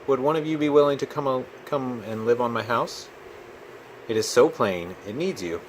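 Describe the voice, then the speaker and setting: A man's voice: medium pitch, lively intonation, normal speed, voice pushed up, lightly acted. An earnest little hermit crab from a children's storybook, voiced by a man reading aloud. He is polite and a bit fretful, speaking expressively, with faint noise behind him. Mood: admiring, polite. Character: polite, fretful young male hermit crab seeking a home.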